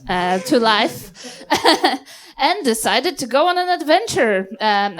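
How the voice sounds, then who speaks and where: A woman's voice: a little high, a bit bright. A woman talking casually on a live stage as a player, hesitant and humorous. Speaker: player introducing her character.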